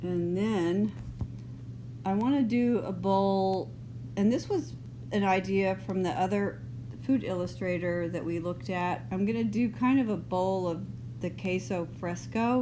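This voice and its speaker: A woman with a soft Texas accent, smoothed by years up north, walks students through a drawing. She speaks slowly and loosely, a little low in pitch. Steady hiss and noise sit under the recording. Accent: mild Texas, partly northern. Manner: relaxed, unhurried, loose and chatty. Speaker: art teacher. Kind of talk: drawing lesson, thinking aloud. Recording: noisy home recording.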